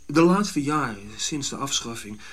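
An actor playing a brusque, scheming Dutch colonial general with a blunt, commanding manner in a radio drama. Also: male voice, mid-range voice, expressive, measured pace, a bit bright. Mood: scheming, pragmatic.

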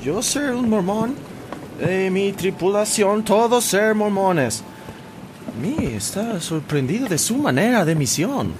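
Un actor que interpreta en una radionovela a un capitán de barco extranjero, efusivo, con acento de angloparlante y español entrecortado. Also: male voice, sits high, lively intonation, takes it slowly. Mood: amazed. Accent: English-speaking foreigner's. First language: English. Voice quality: broken Spanish mixed with English exclamations. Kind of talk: radio drama acting. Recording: rain and boat noise behind.

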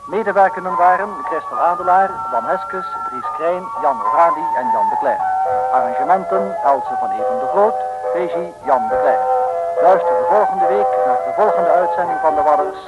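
A man's voice: a little high, animated, moderate pace. A man reading scripted closing credits as an old-time radio announcer. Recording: dull lo-fi old radio recording. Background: loud music.

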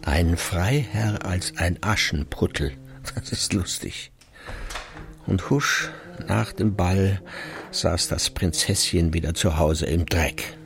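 An actor playing a brooding retired husband in his sixties who secretly drinks, muttering an evasive, halting monologue in a gravelly radio-drama voice, often sinking to near whispers. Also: deep voice, a little hiss or hum, heavily acted. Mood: wry, musing.

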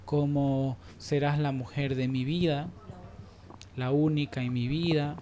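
A man's voice: on the high side, flat delivery, measured pace, mellow, slight background noise. A young man, hosting an informal on-air discussion, giving opinions with stumbles and repeated words. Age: young adult. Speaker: radio discussion host.

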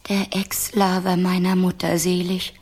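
Husky, sulky teenage princess. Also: female voice, on the low side, monotone, measured pace, darkish tone. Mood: defiant, dry. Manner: sullen, defiant, dry. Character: stubborn, sulky fifteen-year-old princess. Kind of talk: radio play acting.

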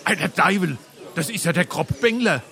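A mocking drinking companion has a bright, forceful, fairly high male voice. He talks in Darmstadt Hessian dialect, playing to the stage as in a Biedermeier farce. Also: lively intonation, moderate pace, gravelly, full character voice. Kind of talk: dialect stage comedy acting.

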